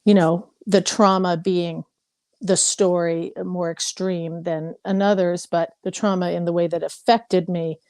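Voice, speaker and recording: A woman's voice: fairly low pitch, flat delivery, unhurried, darkish tone, no room sound. A woman in her fifties from Hawaii, candidly sharing her story in a casual group call, with many fillers. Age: 50s. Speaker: participant.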